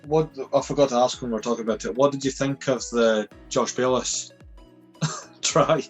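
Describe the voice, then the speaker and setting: A man's voice: medium pitch, moderate pace, husky, soft background music, poor audio. A young man co-hosting a casual podcast, laid-back and swearing casually. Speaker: podcast co-host.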